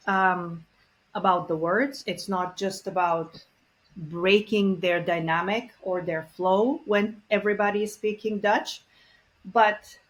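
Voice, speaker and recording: A woman speaks as an interview guest at an ordinary mid pitch. Her manner is earnest and reflective, full of small pauses and fillers as she works through her thoughts. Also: poor audio. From Bulgaria. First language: Bulgarian. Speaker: guest expert on multilingual parenting. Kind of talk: conversational interview.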